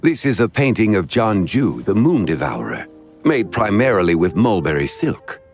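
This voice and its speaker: A composed, learned gentleman in an anime game. His low voice is formal and measured yet richly inflected, with faint music underneath. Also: big pitch swings. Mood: calm, explanatory. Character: composed, erudite gentleman antique connoisseur, courteous and unhurried. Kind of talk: anime video game acting.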